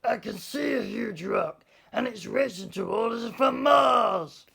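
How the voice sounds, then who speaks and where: A man voicing a very loud, excitable young boy in a storybook, bellowing his exclamations. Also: slow pace, gravelly, exaggerated voice. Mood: alarmed shouting. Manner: bellowing, high-pitched exclaiming.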